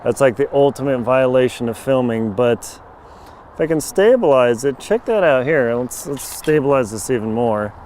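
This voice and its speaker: Chatty hoarse man vlogging outdoors. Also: mid-range voice, expressive, normal speed, darkish tone, slight background noise. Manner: chatty, wry, jokey asides. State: a bit hoarse. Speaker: travel vlogger. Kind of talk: casual handheld travel vlog. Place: outdoors on a rural farm lot. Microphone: handheld camera mic at arm's length.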